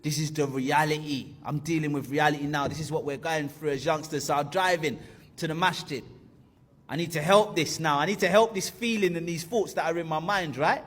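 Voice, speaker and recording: A man's voice: a little high, brisk, fairly bright tone. A man in his thirties animatedly telling a personal story, acting out the voices of friends and his teacher.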